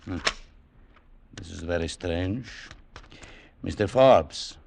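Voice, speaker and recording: An actor playing a cold, evasive embassy official in a 1940s radio drama, formal and officious. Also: male voice, low-pitched, slow, vocal fry, background noise, heavily acted. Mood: cool, officious. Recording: 1940s radio broadcast recording.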